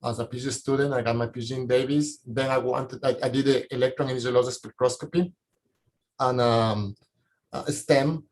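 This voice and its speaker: Male scientist, Latin American Spanish accent, medium pitch, halting informal delivery, slight background noise on an online call. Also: fairly even intonation, darkish tone. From Ecuador and Colombia. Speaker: panelist, research scientist. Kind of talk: informal career account on a panel. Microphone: video call. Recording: noisy video-call audio.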